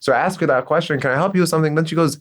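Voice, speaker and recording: A young man hosting a casual podcast, telling a story in an animated way and laughing often. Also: on the high side, racing. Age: young adult. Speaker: podcast host. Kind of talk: casual chat.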